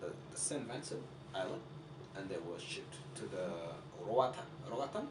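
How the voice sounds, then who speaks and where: Male host, English, halting delivery; distant mic in an echoey room. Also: lowish voice, lively intonation, background noise. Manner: hesitant, searching for words. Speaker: interview host. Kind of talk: casual interview. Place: indoor office with African decor. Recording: echoey room, mic far off, loud guitar music behind.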